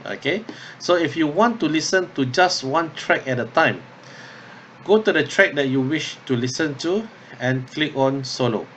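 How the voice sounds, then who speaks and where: A man instructor talking through an unscripted tutorial step by step, thinking aloud haltingly and often checking in with okay or yeah. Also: fairly high pitch, lively intonation, a bit bright, slight background noise. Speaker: drama workshop instructor.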